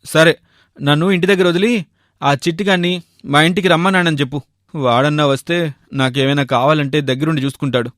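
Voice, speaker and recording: Guy acting a picky bachelor shopkeeper in a cartoon story, highish voice, complains a lot, bossy. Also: fairly even intonation, a little fast, long pauses, fairly bright tone, dry recording, studio quality, natural voice. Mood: angry, pained. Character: food-loving bachelor shopkeeper, fussy and grumbling.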